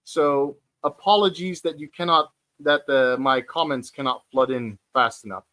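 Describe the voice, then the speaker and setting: A man of forty hosting a casual livestream chat from home, speaking hesitantly with many fillers and restarts and pausing to read out viewer comments. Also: a little high, fairly even intonation, bright, forceful tone. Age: 40s. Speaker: livestream host. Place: at home, sitting on the floor.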